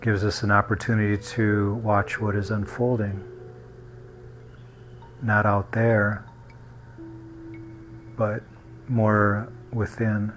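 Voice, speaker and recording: A man guiding a meditation in a gentle, unhurried manner, leaving long pauses between short phrases. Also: fairly low pitch, flat delivery, soft background music. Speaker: meditation guide. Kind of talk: guided meditation.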